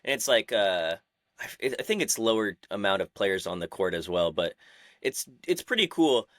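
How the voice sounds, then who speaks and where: A man co-hosting a podcast in casual chat, loose and excitable. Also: fairly low pitch, normal speed, dry recording, clean studio sound. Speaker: co-host.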